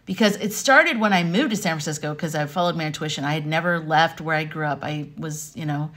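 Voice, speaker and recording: Low-pitched American woman telling stories casually. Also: fairly quick. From Arizona, United States. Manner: animated, rambling, conversational. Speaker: vlogger and life coach. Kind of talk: casual personal storytelling to camera. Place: home room with wooden beams, indoors.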